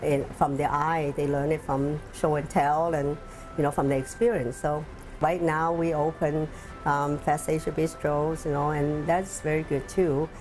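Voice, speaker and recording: A woman with a Hong Kong Chinese accent talks about her restaurants in a low, halting voice. She fills her pauses with "you know" and "um". Soft music plays faintly under the interview. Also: little pitch movement, rough recording. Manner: halting, many fillers. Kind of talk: documentary interview.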